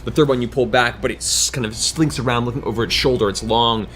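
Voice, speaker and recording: A man's voice: medium pitch, fairly even intonation, fast, fairly bright tone, a little hiss or hum. Man narrating dramatically as game master. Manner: vivid, dramatic describing with spoken sound effects. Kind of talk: improvised narration of a tabletop fantasy game.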